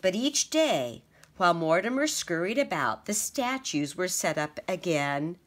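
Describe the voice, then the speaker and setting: Woman teacher reading aloud, lowish voice, very lively pitch, slow and gentle. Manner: expressive, gentle storytelling. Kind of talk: children's picture book read-aloud.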